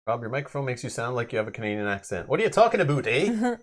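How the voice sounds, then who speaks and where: A man's voice: mid-range voice, big pitch swings, fast. A man hosting a live board game stream in casual chat, explaining things at length in a conversational way.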